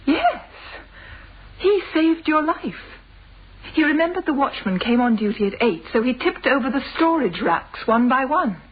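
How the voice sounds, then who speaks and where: Lively, high-voiced radio drama wife. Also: female voice, measured pace. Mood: reassuring, explaining. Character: Lucy, forgiving, quietly assertive wife. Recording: dull, noisy old radio recording.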